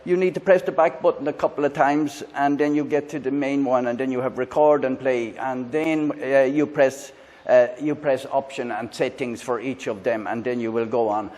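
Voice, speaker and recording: A man with an Irish accent, hosting a casual product review on a lavalier mic, talking in a halting way with many fillers and restarts. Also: mid-range voice, steady tone, darkish tone. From Ireland. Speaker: tech reviewer, channel host. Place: indoors in a room with a fan, then outdoors by a busy road.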